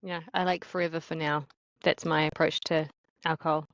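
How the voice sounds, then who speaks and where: A woman's voice: deep voice, flat delivery, normal speed, a bit bright, no room sound, muffled recording. A woman co-hosting a podcast interview, speaking thoughtfully and asking curious questions. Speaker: podcast co-host.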